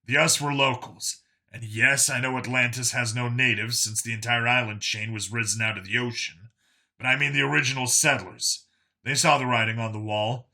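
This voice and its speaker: A man plays a tough enforcer in a story, speaking at a middle pitch with a very bright, forceful edge. He explains himself earnestly and bluntly. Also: fairly even intonation, moderate pace. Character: Vincent, earnest tough human enforcer, reformed bigot.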